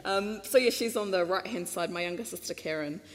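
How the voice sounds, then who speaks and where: A woman's voice: lowish voice, a little fast. A New Zealand woman giving a casual public talk at a microphone stand, chatty, laughing often, full of fillers and restarts. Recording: long hall reverb.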